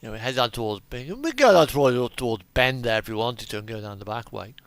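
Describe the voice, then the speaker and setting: A man giving casual gameplay commentary as host of a truck simulator video, rambling and halting with trailing asides. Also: medium pitch, lively intonation, no room sound.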